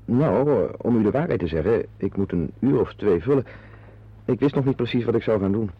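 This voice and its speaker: An actor playing a self-important, opinionated husband in a radio drama, confiding in listeners in a wordy way and hesitant with strangers. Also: male voice, on the low side, animated, brisk, husky. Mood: polite, appreciative. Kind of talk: radio drama acting with narrated asides. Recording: dull, lo-fi old radio play recording.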